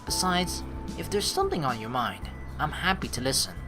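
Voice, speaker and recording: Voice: mid-range voice, huge pitch swings, normal speed. A let's play host from the United States, reading a visual novel aloud and rambling through running commentary over game music. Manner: rambling, thinks aloud, restarts and asides.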